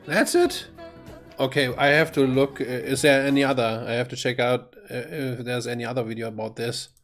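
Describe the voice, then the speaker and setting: Halting man doing casual reaction commentary. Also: medium pitch, fairly even intonation, fairly bright tone, faint music behind. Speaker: reaction video host. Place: small indoor home room.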